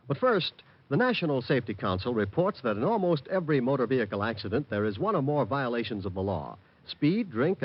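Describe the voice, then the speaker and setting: Animated 1940s American radio announcer, male. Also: mid-range voice. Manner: formal, measured. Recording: dull vintage radio broadcast.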